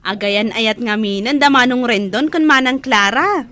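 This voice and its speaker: A woman's voice: on the high side, normal speed, sharp tone. A woman playing a sweet, modest young village woman in a radio-style story drama. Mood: chatty.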